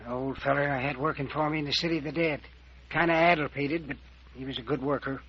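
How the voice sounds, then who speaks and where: An actor playing a gruff, plain-spoken, secretive older small-town man in old-time radio drama, terse and folksy. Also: fairly high pitch, fairly even intonation, fast talker. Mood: matter-of-fact. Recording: dull, band-limited old radio recording.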